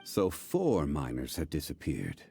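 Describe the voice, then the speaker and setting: Deep, mellow, dignified scholar. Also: male voice, wildly swooping pitch, vocal fry, a little hiss or hum, heavily acted. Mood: composed. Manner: formal, measured, deliberate. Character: calm, scholarly, dignified gentleman consultant, methodical and reflective. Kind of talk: video game voice acting.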